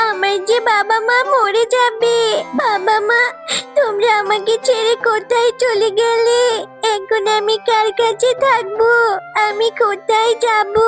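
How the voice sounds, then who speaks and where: A sweet orphaned little cartoon girl acted out by a performer with an extremely high voice. She sounds plaintive and pleading, over prominent music. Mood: grieving, pleading. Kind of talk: children's cartoon voice acting.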